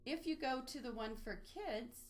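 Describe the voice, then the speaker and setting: A woman's voice: sits high, moderate pace. A woman presenting an instructional webinar, explaining step by step as she walks listeners through a screen demonstration. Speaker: webinar presenter, library trainer. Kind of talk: instructional screen-share walkthrough, semi-scripted.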